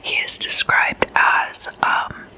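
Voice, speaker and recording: An ASMR host speaks in a hushed whisper with a very bright, sibilant edge. The delivery is unhurried and intimate, like casual chat with a friend at a slumber party. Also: low-quality recording. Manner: intimate, addressing the listener as a guest.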